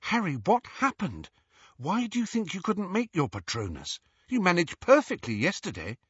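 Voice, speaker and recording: Man voicing a high-pitched, bossy teenage witch girl. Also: huge pitch swings, normal speed, fairly bright tone, breathy edge, no room sound, lo-fi sound, heavily acted. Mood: concerned. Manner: impatient, insistent.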